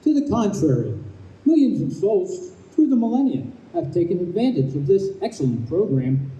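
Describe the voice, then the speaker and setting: Formal pearly gates boss guy acting, high and animated, old time radio, echoey noisy room. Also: moderate pace, highs cut off. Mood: officious. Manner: officious, formal. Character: officious, patient heavenly gatekeeper, a male spirit. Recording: echoey noisy live stage, distant microphone.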